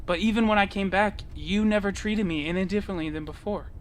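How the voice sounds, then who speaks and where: A man's voice: high voice, quick pace, a little hiss or hum, lightly acted. A young man playing an earnest, wounded teenage outcast boy in an audio drama. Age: young adult.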